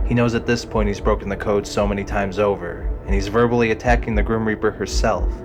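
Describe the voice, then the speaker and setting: Low-voiced man narrating dramatic fiction. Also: fairly even intonation, fast talker, music in the background.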